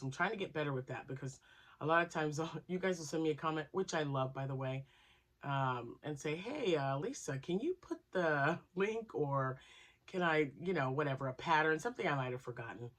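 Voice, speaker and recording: A woman hosting her crochet channel, chatting casually and rambling with asides, still nasal from a cold. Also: sits low. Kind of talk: casual chat to camera.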